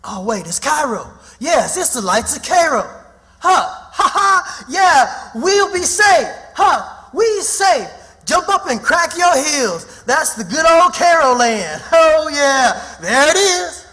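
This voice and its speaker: A warm-hearted, stubborn runaway in a radio play. His voice is strikingly high and bright, swooping in pitch, with a folksy Southern dialect and emphatic delivery. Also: very animated, takes it slowly, exaggerated voice. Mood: overjoyed. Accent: American Southern. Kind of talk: radio drama acting.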